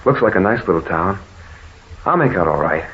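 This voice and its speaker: An actor playing an amiable but uneasy brother, speaking mildly and conversationally in an old-time radio drama. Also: male voice, low-pitched, animated, moderate pace. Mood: easygoing. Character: amiable, uneasy brother staying with his sister. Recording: muffled, hissy old radio recording.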